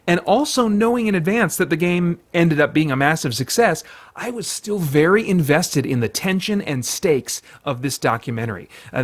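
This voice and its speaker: A man's voice: on the high side, fairly bright tone. A man hosting a review show, giving chatty opinions and recommendations. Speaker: podcast host and film reviewer.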